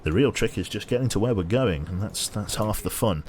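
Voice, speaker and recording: A man streaming a game with casual commentary, a little tired, his voice slightly nasal and a bit raspy, chatty as he thinks aloud and thanks viewers. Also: lowish voice, lively intonation, a little fast, a little mellow, a little hiss or hum.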